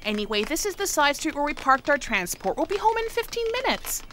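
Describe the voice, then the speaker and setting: A woman's voice: sits high, sing-song, a little fast. A woman playing a breezy, argumentative dancer sister who exclaims dramatically in a comic sci-fi audio play. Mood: chiding. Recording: clear background noise.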